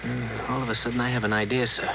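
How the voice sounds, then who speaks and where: A man's voice: medium pitch, flat delivery, fast talker, creaky, natural voice. Earnest ship's officer, played by a man, noisy vintage radio. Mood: uneasy, respectful. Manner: respectful, earnest, hesitant. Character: earnest, deferential spaceship officer. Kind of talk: 1950s radio drama acting.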